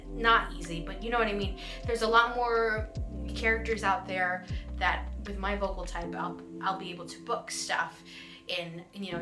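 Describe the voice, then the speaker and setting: A young adult woman, a voice actor, chatting casually and answering fan questions in a bubbly, giggly, self-deprecating way. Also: mid-range voice. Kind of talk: casual vlog Q&A. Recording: roomy echo with music behind.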